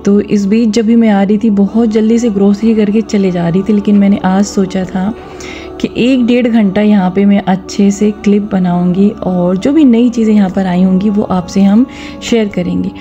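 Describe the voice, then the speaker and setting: A woman's voice: medium pitch, fairly even intonation, moderate pace, mellow, muffled recording, soft background music. A woman narrating a vlog, giving instructive advice directly to her viewers. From India. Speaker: vlogger. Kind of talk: vlog voice-over with gentle religious advice.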